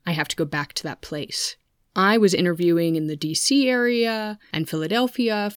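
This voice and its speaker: A woman's voice: lowish voice, no echo, studio quality. A woman in her thirties with an American accent, telling personal stories in a candid, introspective, conversational way. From United States. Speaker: podcast host. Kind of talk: reflective personal storytelling.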